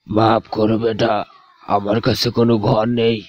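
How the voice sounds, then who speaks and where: Guy doing a gentle sad old mango tree, fatherly n apologetic, slow talking, kids fairy tale. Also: lowish voice, monotone, muffled recording. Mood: apologetic, giving.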